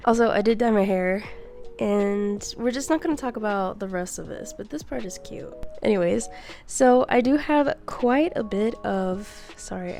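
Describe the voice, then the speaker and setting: A woman's voice: medium pitch, warm tone. A young woman with a congested, stuffy voice from a cold, chatting in a rambling, giggly way close to the mic. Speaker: book vlogger. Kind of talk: casual chatty book haul.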